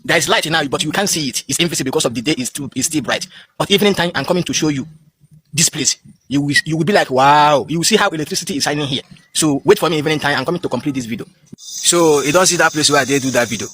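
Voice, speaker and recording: A man's voice: fairly high pitch, rapid-fire, fairly bright tone, husky. A Nigerian man whose first language is Igbo, vlogging outdoors on a smartphone in a rambling, defiant way. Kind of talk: phone vlog.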